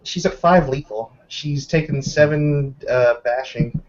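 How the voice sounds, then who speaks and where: A man with a medium-pitched voice runs a tabletop roleplaying game. He narrates the scene off the cuff as game master. The recording is slightly muffled. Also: unhurried. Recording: dull lo-fi audio.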